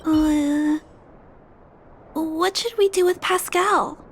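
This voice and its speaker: Sweet caring little girl for a game, really high voice, slow and coaxing, soft music behind. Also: a little hiss or hum, exaggerated voice. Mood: gentle, earnest. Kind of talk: video game voice acting. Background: faint background music.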